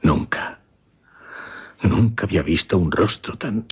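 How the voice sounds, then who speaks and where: An actor playing a grave mesmerist who recounts a horrifying case in a husky, breathy voice, halting and suspenseful, in radio drama style. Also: male voice, sits low, darkish tone, exaggerated voice. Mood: horrified. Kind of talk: dramatic radio horror storytelling. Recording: dull radio drama recording.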